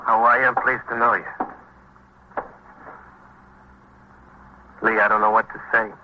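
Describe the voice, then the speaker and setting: An actor playing a hurt, exasperated brother-in-law, lamenting and scolding in vintage radio crime drama style. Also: male voice, fairly low pitch, little pitch movement, fast, a little hiss or hum, close to an everyday voice. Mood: ashamed. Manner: aggrieved, lamenting, repetitive. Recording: muffled vintage radio recording.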